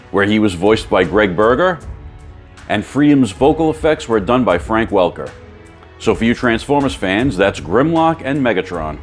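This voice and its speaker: A hoarse man hosting a toy review video, chatty and informative. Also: lowish voice.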